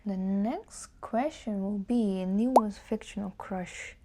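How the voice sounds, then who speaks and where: A woman's voice: medium pitch, takes it slowly, mellow, slight background noise. A woman book vlogger chatting casually and informally to camera, musing aloud with some hesitation. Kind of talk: casual chat to camera, answering tag questions.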